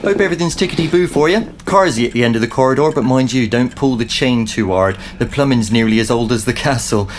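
An actor playing a cheeky, chatty young caretaker in an audio drama, talking in familiar, joking slang. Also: male voice, medium pitch, fast, hardly any pauses, background noise, lightly acted. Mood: casual, helpful.

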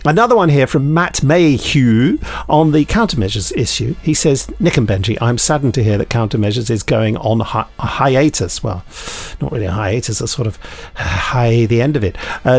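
A British man with a gravelly, creaky voice at an ordinary male pitch co-hosts a casual podcast. He reads listener emails aloud in a jokey, digressive way, often breaking off mid-sentence to add asides. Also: animated, moderate pace, few pauses, a little mellow. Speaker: podcast co-host.

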